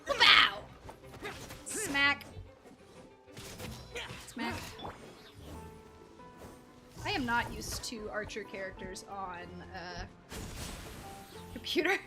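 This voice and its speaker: A woman's voice: fairly high pitch, sing-song, slow, long pauses. A woman doing casual live game commentary as a streamer, playful and rambling. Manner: playful, rambling, makes silly sound effects. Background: game music.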